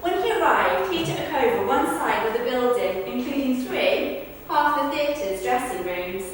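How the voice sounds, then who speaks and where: A woman's voice: sits high, lively intonation, measured pace. A woman rehearsing verbatim witness accounts for the stage, telling them in a conversational but projected way. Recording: echoey hall, far from mic, faint noise.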